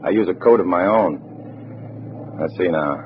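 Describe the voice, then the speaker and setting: An actor with an American accent playing a cool undercover agent in a 1950s radio drama, narrating in a clipped, wry way. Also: male voice, sits low, moderate pace, slight background noise, natural voice. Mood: smooth, confiding. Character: cool undercover agent narrating his double life as communist. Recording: dull vintage radio, music behind.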